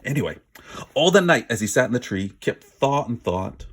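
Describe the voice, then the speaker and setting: A man's voice: mid-range voice, very animated, normal speed. A man reading a children's story aloud with dramatic, emphatic word stress and an informal manner. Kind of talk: expressive read-aloud narration with casual asides.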